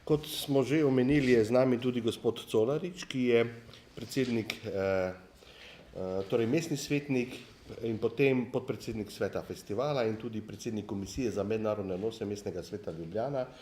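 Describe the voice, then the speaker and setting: A man with a Slovenian accent, a festival director, speaking formally and deliberately at a press conference. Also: mid-range voice, warm tone, a little hiss or hum.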